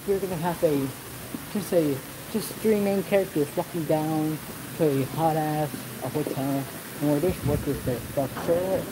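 A man's voice: on the high side, measured pace, background noise. A man vlogging as he walks outdoors along a resort path, rambling and swearing and restarting his sentences, recorded on a handheld phone. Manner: rambling, profane, restarts often. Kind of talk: casual walking vlog. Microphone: phone camera held while walking.

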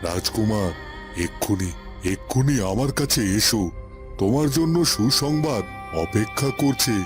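A man playing a remorseful but hopeful holy hermit in a cartoon fairy tale, speaking solemnly in short, deliberate phrases. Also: medium pitch, expressive, music in the background, close to an everyday voice. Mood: eager, calling out.

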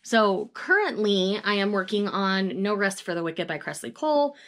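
A woman's voice: mid-range voice, lively intonation, normal speed, fairly bright tone. Woman in her 30s chatting about books at her desk, upbeat, laughs a bit. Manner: chatty and upbeat, laughs between book titles. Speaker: book vlogger. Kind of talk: casual reading-plan chat. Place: small room in front of full bookshelves. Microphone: webcam or camera at desk distance.

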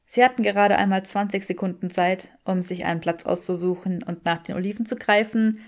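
Woman narrating an audiobook, medium pitch. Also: steady tone, a little fast. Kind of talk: scripted fiction narration.

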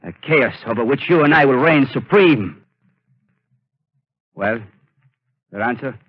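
An actor playing a scheming male mastermind in a 1930s radio drama, speaking slowly and with menace. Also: mid-range voice, animated, breathy edge, no room sound. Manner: slow, menacing, measured. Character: scheming male mastermind bent on ruling the world. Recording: muffled old radio broadcast.

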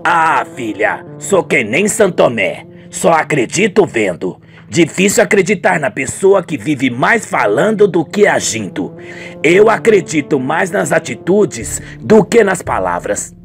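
A man's voice: on the high side, sing-song, a little slow, gravelly, faint music behind, full character voice. A man playing a doubtful, watchful father in an amateur Brazilian radio soap, questioning and admonishing. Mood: skeptical. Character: doubtful, watchful middle-aged father of a grown daughter.

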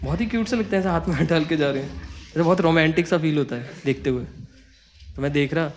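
A young man telling a comic story on stage, acting out dialogue in an animated, slangy way. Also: a little high. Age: young adult. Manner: animated, acts out dialogue in conversational Hindi slang. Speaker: stand-up comedian. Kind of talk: stand-up comedy storytelling. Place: small comedy club stage with audience. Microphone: handheld microphone.

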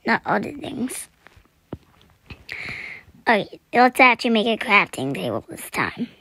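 A young woman chatting through a survival game, her voice scratchy this morning, clearing her throat and often dropping to a whisper. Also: fairly high pitch, sing-song, a little slow, bright, forceful tone. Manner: chatty, self-deprecating, often drops to a whisper. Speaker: gaming channel host. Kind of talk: casual gameplay commentary.

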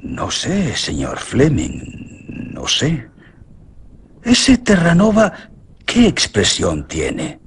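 An actor playing a sceptical, shrewd, insinuating doctor in a radio suspense drama. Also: male voice, mid-range voice, huge pitch swings, very slow, long pauses, breathy edge, full character voice.

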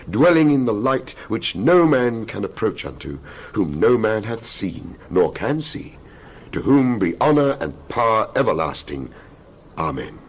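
A man with a low, rough-edged voice performs a dramatic reading of scripture. His delivery is solemn and oratorical, with emphatic phrasing and wide swings in pitch. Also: low-quality recording. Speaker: Bible reader.

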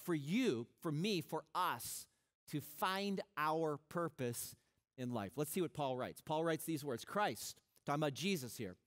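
A middle-aged man preaching a sermon on a church stage, animated and conversational, often restarting his sentences. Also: a little high, unhurried. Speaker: pastor.

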